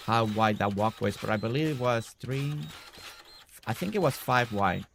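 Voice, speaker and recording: A man's voice: mid-range voice, gravelly, a little hiss or hum. A man streaming a building game, chatting casually with viewers by name and a little congested. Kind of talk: casual live-stream chat while building.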